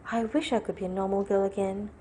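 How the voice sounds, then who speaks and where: A woman voicing a young schoolgirl in a children's storybook, earnest, dramatic and expressive. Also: mid-range voice, fast talker, slight background noise, lightly acted. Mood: worried.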